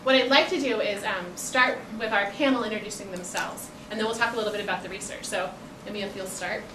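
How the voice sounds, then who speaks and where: A woman's voice: medium pitch, fairly even intonation, fast. A woman facilitating a conference panel, casual and friendly with frequent ums, speaking in a classroom. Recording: echoey classroom, distant mic, noisy.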